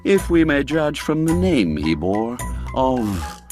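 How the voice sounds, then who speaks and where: A man narrating a literary story as an audiobook, with a measured, expressive, old-fashioned storyteller's delivery. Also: medium pitch. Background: background music.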